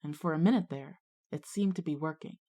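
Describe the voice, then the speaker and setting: A woman's voice: sits low, a little fast, mellow. A woman playing an earnest, uneasy schoolgirl who narrates her own ghost story in an audio drama. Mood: suspenseful. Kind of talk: scripted first-person ghost story narration and dialogue.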